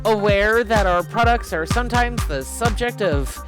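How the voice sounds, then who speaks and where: A woman's voice: medium pitch, lively intonation, normal speed, natural voice. A woman playing a prim, defensive company representative in a visual novel, formal, scripted and emphatic. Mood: proud, defensive. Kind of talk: acting out visual novel dialogue on stream. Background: loud game music.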